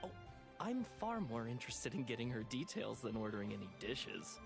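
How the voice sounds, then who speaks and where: A man's voice: mid-range voice, very animated, moderate pace, vocal fry, music in the background. A man playing a bashful, smitten young diner in an anime game, with an awkward, hesitant way of talking. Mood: smitten, evasive.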